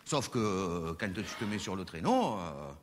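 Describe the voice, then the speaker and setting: A man with a Marseille accent doing a stand-up routine on stage, telling crude, animated stories full of swearing. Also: low voice, a little slow, a little hiss or hum. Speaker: stand-up comedian. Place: stage before a live audience. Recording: live hall with long reverb.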